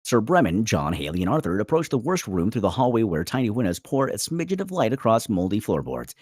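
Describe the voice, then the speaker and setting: A man with a lowish, rough-edged voice narrates a script. His delivery swings widely in pitch, dramatic and vivid, recorded close and dry. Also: very animated, brisk, darkish tone, no room sound. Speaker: narrator reading stage directions.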